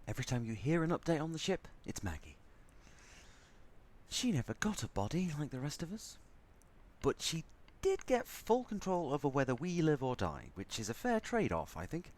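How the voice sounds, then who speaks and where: A man's voice: medium pitch, close to an everyday voice. A man playing a sardonic, grudgingly fond spaceship crewman in an audio drama, rambling as he goes. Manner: sardonic, rambling, softening into fondness. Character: snarky male spaceship crewman, grudgingly fond, talking to baby.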